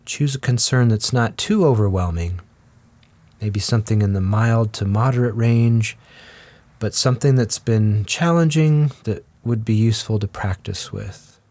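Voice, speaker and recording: Slow, low-pitched man guiding a meditation. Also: no room sound. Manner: gentle, measured, with long pauses. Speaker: guided meditation teacher. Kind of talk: guided meditation, slow scripted instruction.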